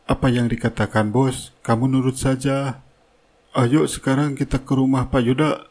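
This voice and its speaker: A man's voice: medium pitch, flat delivery, moderate pace, a little mellow, lightly acted. A man playing a bossy construction foreman in a cartoon drama, scolding in a brusque, impatient way.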